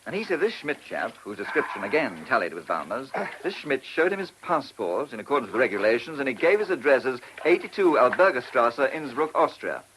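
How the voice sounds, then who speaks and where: A man's voice: mid-range voice, big pitch swings, brisk, few pauses, a bit bright, a little hiss or hum, poor audio. An actor playing a keen, cheeky younger British policeman in a radio play, with breezy, slangy speech. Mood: pleased. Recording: old radio drama recording.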